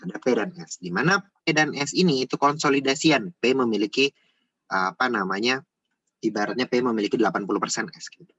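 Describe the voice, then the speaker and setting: Male tutor, husky voice, informal and repetitive explainer, close dry sound. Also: medium pitch, a little fast, a bit bright, no echo, lo-fi sound. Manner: repeats himself, frequent checking tags. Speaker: accounting tutor.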